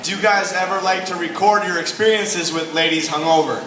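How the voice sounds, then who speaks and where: A man's voice: high voice, fairly even intonation, fairly quick, far from the mic. A man from the audience asking a band playful, joking questions. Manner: jokey, playful. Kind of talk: casual fan question. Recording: echoey hall with crowd noise.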